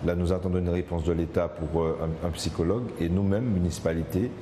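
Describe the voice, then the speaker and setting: A man speaking for the municipality in an interview, halting with many hesitations. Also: low voice, steady tone, dark tone, reverberant, in a large hall, slight background noise. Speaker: municipal official.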